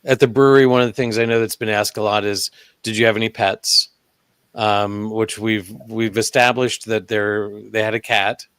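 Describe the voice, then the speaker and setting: A man talking as a guest investigator in a casual podcast chat, telling stories in a relaxed way with hesitant pauses. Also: on the low side, clean tone, dry recording. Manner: relaxed storytelling with hesitant ums.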